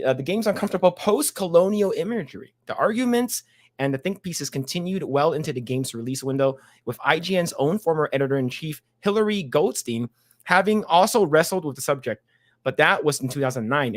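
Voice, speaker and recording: A man's voice: on the high side, lively intonation, brisk. A man hosting a casual podcast, reading an article aloud and chatting with many restarts and fillers. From Chinese background. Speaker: podcast host.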